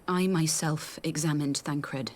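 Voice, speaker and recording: Low-toned solemn young seer. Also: female voice, steady tone. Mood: solemn, measured. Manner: measured, formal archaic phrasing. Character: gentle, solemn young female seer, archaic and wise. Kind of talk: scripted video game cutscene acting.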